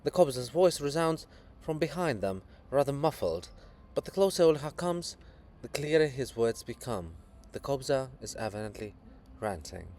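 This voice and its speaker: A man's voice: mid-range voice, big pitch swings, moderate pace, few pauses, a little mellow, slight background noise. A man giving a dramatic reading of a play, delivering its stage directions. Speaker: reader of a play.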